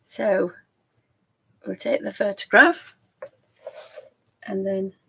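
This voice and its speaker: A woman hosting a live stream, chatting casually and rambling with a croaky voice, often laughing and trailing off. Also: medium pitch, very slow, a bit bright.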